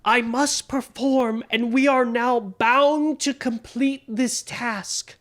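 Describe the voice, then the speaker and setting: A man's voice: very high-pitched, steady tone, slow, a bit bright, exaggerated voice. A man playing an earnest, anxious songwriter in a comedic audio drama, pleading melodramatically. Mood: urgent, pleading. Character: earnest, anxious songwriter seeking a friend's help.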